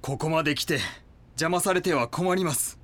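An actor playing a cult leader's coldly polite, fanatical right-hand man in an audio drama, measured and formal. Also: fairly high pitch, a bit bright, close to an everyday voice.

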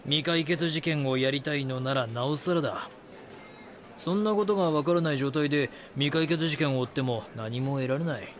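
A man playing a calm, measured chief editor mentoring a junior in a Japanese voice drama. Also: on the high side, lightly acted. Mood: probing, instructive. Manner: instructive, composed.

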